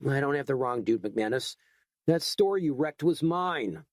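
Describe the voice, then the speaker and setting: A man's voice: mid-range voice, expressive, a little slow, dry recording, studio quality, close to an everyday voice. A man voicing an angry middle-aged store owner who talks in a tight, agitated voice. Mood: tight, indignant. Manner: tight, agitated, rising to shouting.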